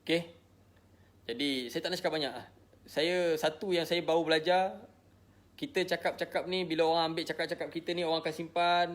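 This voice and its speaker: Higher-voiced Malaysian man, emphatic talker. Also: little pitch movement, fairly quick, fairly bright tone. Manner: repetitive, emphatic, punctuated with check-in tags. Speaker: social media personality addressing followers. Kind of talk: informal live monologue to followers.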